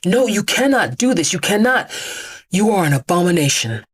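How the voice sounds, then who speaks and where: Actress as anguished, condemning otherworldly male being, raised pitch. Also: very animated, normal speed, breathy edge. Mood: weeping, pleading. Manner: anguished, accusatory, solemn pronouncements.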